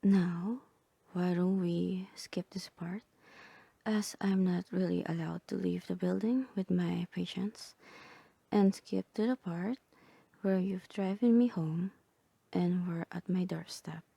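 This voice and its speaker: A woman voicing a calm, composed therapist in a soft, breathy, half-whispered ASMR roleplay, measured and clinical. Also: fairly low pitch, dry recording, exaggerated voice. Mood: calm, matter-of-fact. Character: calm, composed female therapist guiding a patient through roleplay.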